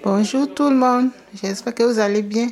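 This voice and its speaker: A woman's voice: on the high side, normal speed. A woman in her forties who works as a cleaner in a care home, introducing herself in a plain, matter-of-fact way. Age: 40s.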